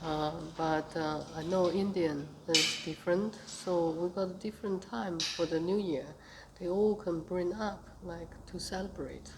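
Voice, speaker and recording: Slow-talking woman from Beijing, low voice. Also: steady tone, background noise. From Beijing, China. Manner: slow, hesitant, many fillers. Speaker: interviewee, tai chi group leader. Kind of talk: casual interview answers.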